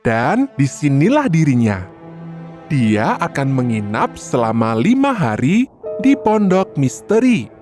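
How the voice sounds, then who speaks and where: Storyteller reading a kids tale, slow n expressive, voice moves around a lot, music under it. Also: medium pitch. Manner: expressive, deliberate. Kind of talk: scripted children's story narration.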